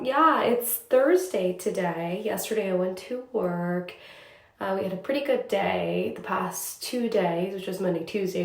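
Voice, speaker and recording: A young woman who is a news reporter, chatting casually in a vlog, a bit congested and raspy from a cold, with many filler words. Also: medium pitch. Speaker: TV news reporter vlogging her day. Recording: echoey room.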